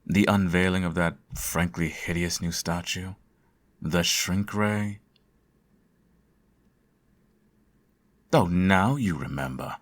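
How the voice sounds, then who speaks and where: A man playing a sardonic, theatrical supervillain who fusses over his nemesis in an ASMR audio roleplay, his voice slightly husky. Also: deep voice, measured pace. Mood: smug, teasing. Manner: theatrical, teasing, sarcastic.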